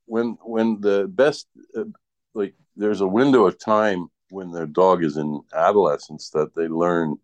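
A man's voice: sits low, no room sound. A man in casual, rambling conversation, telling personal anecdotes and often tagging sentences with "right?".

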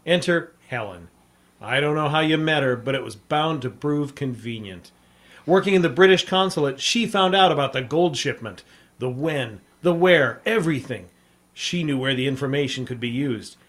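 An actor playing a tough, sardonic American reporter in a 1950s radio drama, with wry, clipped delivery. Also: male voice, medium pitch, lively intonation, normal speed, a bit bright, natural voice. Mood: sardonic, assured. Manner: hard-boiled, clipped, wry. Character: tough, sardonic American newspaperman hero and narrator.

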